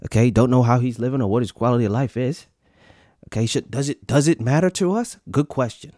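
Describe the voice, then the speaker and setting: A man's voice: mid-range voice, fairly quick, mellow, dry recording, studio quality. A man in his thirties hosting a podcast live, ranting casually to viewers with lots of swearing and comic repetition. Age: 30s. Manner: profane, swaggering, repeats lines for comic effect. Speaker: podcast host.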